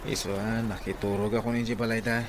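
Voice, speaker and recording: A Filipino actor playing an evasive, apologetic husband in a radio drama. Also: male voice, lowish voice, little pitch movement, fast, lightly acted. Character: husband, evasive and apologetic, torn between wife and lover. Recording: noisy radio drama track with ambience.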